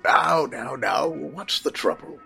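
A man's voice: fairly high pitch, animated, slow, vocal fry, sharp tone, husky, soft background music, full character voice. A man playing a kindly old department store Santa in a radio play, courteous, patient and reassuring.

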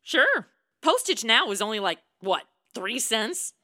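A woman's voice: a little high, unhurried, full character voice. An actress playing a sarcastic, self-absorbed young woman in a comedic radio play, flippant and slangy. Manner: deadpan quips, slangy, flippant.

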